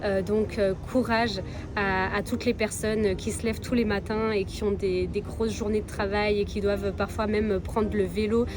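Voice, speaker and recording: A woman's voice: mid-range voice, little pitch movement, moderate pace, noisy background. A woman from Belgium, chatting casually to viewers as a travel vlogger. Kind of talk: casual vlog chat to viewers.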